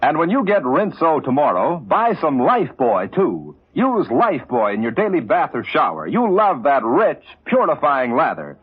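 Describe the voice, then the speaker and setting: A man's voice: fairly high pitch, very animated, moderate pace, few pauses, husky. A man delivering a scripted 1940s radio commercial as an announcer. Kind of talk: 1940s radio advertising pitch. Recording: dull old radio recording.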